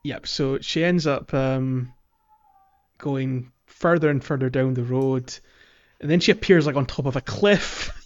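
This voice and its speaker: A man hosting a podcast, casually recapping a video game in a hesitant, halting way. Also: medium pitch, lo-fi sound. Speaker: podcast host.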